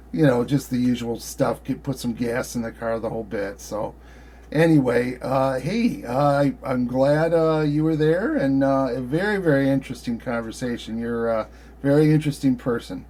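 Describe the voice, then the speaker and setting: A man in his sixties with a mid-pitched voice chats as an amateur radio operator. His delivery is friendly and informal, meandering along with frequent hesitations. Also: a little mellow. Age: 60s. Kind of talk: casual chat over ham radio.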